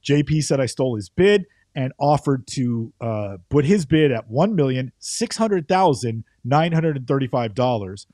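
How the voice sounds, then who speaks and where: Male podcast co-host, middle-aged, fairly high-pitched, dry close-mic sound, conversational recap delivery. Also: darkish tone, no echo. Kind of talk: conversational car auction recap. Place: indoor home studio. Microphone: close boom studio microphone.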